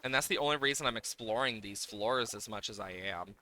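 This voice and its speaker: Bright, animated-talking man streaming games. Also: on the low side, a little fast. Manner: playfully exasperated, swearing, exclaiming and talking to the game. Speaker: livestreamer. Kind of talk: casual gaming livestream commentary.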